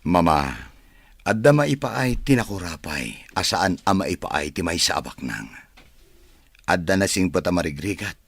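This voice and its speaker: A man playing a wealthy, soft-hearted grown son in a radio drama, speaking earnestly. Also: sits low, sing-song, a little slow, long pauses, breathy edge, dry recording, heavily acted. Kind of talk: melodramatic radio drama acting.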